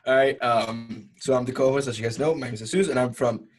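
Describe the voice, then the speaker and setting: A young man from Ecuador, co-hosting a casual podcast, laid-back and quick to laugh. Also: medium pitch, steady tone. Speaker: podcast co-host.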